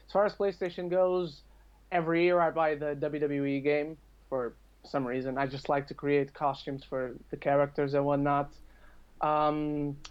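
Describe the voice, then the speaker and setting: Casting: male podcast talker, fairly high voice, rambling and self-correcting, casual delivery, slightly muffled lo-fi recording. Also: clean tone. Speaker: podcast speaker. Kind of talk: casual podcast chat about games and films.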